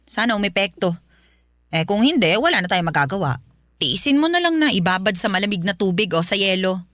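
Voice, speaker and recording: A woman playing a cruel, harsh mother in a radio drama, scolding and yelling shrilly. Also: mid-range voice, sing-song, brisk, no room sound, studio quality. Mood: dismissive. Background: background music.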